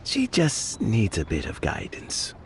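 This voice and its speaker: A genial elderly game character, deferential and courtly. He speaks slowly with very animated intonation, over background music. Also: fairly low pitch, creaky, heavily acted. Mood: hesitant, concerned. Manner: courtly, hesitant. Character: genial elderly gentleman official, modest, deferential. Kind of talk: video game voice acting.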